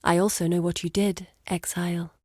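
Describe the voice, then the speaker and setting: A woman's voice: fairly low pitch, little pitch movement, moderate pace, a little mellow, slightly rough, dry recording, studio quality. An actress playing an earnest, determined woman guide in a video game, with a grave, articulate delivery. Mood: composed, solemn. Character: earnest, determined woman guide searching for her lost father. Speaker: game character voice. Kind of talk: dramatic video game voice acting.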